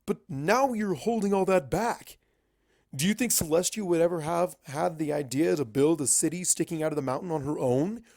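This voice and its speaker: A man's voice: on the high side, normal speed, creaky, darkish tone, dry recording, clean studio sound, pitched above the performer's own voice. A man voicing a blunt, challenging male pony scholar in a fan fiction reading. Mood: insistent, challenging.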